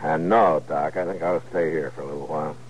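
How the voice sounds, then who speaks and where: An American actor playing a calm, laconic frontier marshal in a 1950s radio Western. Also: male voice, extremely low pitch, a little fast, breathy edge. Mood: easygoing. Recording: muffled, faintly noisy old radio recording.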